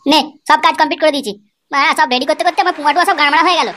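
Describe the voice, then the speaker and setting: A woman's voice: high-pitched, fairly even intonation, rapid-fire, pushed and bright, slight background noise. A woman voicing a crude, eager young sidekick in an amateur comedy cartoon, coarse and complaining. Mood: eager, griping.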